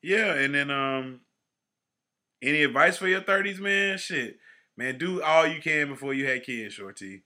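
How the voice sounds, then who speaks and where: A man in his forties co-hosting a podcast in casual chat, slangy and animated, trailing off quietly at the ends of phrases. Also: a little high, a little slow. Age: 40s. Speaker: podcast co-host.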